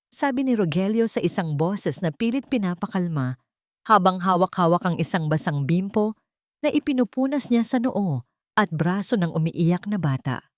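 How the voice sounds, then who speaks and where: A woman's voice: sits low, darkish tone, dry recording, studio quality. A woman narrating a scripted dramatic story.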